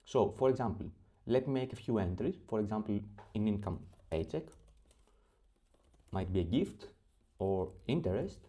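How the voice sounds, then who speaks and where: A man from Greece with a Greek accent, walking through a spreadsheet tutorial step by step, pausing often with fillers. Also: fairly low pitch, lively intonation, warm tone. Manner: methodical step-by-step explaining with frequent pauses and fillers. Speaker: spreadsheet template creator. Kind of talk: screen-recorded software tutorial.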